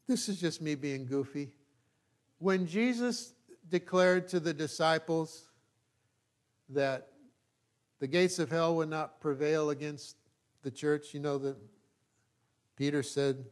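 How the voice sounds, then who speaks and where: A male pastor from California with a Californian American accent and a voice pitched a little above average. He preaches in a loose, conversational way, folksy and self-deprecating. He often halts, then checks that his listeners are following. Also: a little slow, long pauses, smooth. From California, United States. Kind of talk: informal, conversational sermon.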